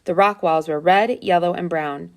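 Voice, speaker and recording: A woman's voice: on the low side, normal speed. A woman reading a children's adventure story aloud as scripted narration. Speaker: storybook reader.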